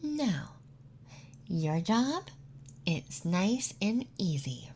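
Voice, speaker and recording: A flirty, teasing emo femboy classmate character in an ASMR roleplay, speaking softly and breathily close to the mic and often dropping into a whisper. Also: medium pitch, sing-song, a little hiss or hum. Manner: teasing, flirty, unhurried with pauses. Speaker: ASMR content creator performing a roleplay. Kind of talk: ASMR roleplay, intimate soft-spoken and whispered. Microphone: close ASMR microphone.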